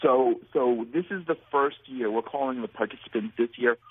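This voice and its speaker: A man's voice: medium pitch, moderate pace, breathy edge, dry recording. An American man being interviewed as a guest, talking earnestly and at length, often restarting his sentences. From United States. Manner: earnest, rambling with many restarts. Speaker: guest, nonprofit founder. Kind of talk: phone interview. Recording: phone line.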